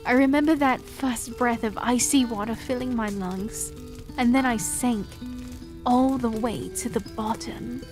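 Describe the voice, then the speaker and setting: A woman voicing a cursed young queen who speaks in a slow, formal, solemn way in a fantasy audio drama. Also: on the high side, soft background music, close to an everyday voice.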